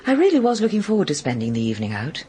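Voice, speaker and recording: A woman's voice: deep voice, huge pitch swings, rapid-fire, warm tone. An actress playing a guarded but candid single woman in a radio drama, confiding hesitantly. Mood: pleading, regretful. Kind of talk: naturalistic British radio drama acting.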